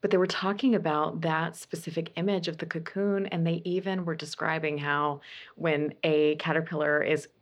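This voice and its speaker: A bubbly, enthusiastic woman hosts a casual podcast interview. Her voice sits a little low for a woman, and she laughs easily as she chats. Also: fairly even intonation, normal speed. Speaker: podcast host.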